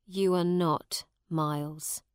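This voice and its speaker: A woman's voice: low-pitched, fairly even intonation, slow, smooth, no echo, clean studio sound, voice pushed down. A woman voicing a cold, hostile nobleman in a storybook, with a stern, commanding manner. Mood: cold, serious.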